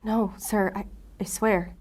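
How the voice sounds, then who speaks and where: A woman's voice: mid-range voice, fairly even intonation, slow, a little mellow. An actress playing an earnest, determined female agent in an audio drama, pleading and pressing. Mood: flustered pleading. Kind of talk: audio fiction drama acting.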